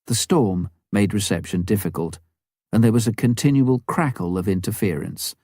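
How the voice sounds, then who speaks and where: A man narrates a story in a low, mellow voice. His delivery is measured and dramatic, with deliberate pauses, and the recording is dry and close. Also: dry recording. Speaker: audiobook reader.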